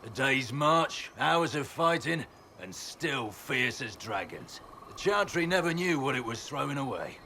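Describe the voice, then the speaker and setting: An actor playing a gruff, bitter male military commander with a mocking manner. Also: medium pitch, very animated, unhurried, sharp tone, slight background noise, heavily acted.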